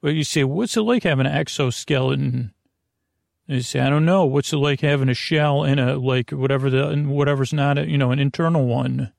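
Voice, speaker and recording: A man hosting a sleep podcast in a meandering, halting monologue, drifting into digressions and whimsical imagined exchanges. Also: mid-range voice, gravelly, dry recording, clean studio sound. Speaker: podcast host.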